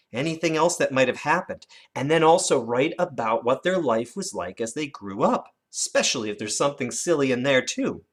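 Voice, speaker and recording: A teacher giving an instructional lesson for children, with animated, expressive storytelling emphasis. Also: mid-range voice. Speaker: elementary teacher.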